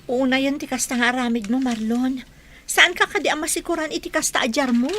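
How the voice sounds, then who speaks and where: A woman's voice: high-pitched, steady tone, a little fast, a bit bright, slight background noise. A woman playing an exasperated, scolding wife in a radio drama. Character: nagging, exasperated wife scolding her irresponsible man. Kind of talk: radio drama acting in Ilocano.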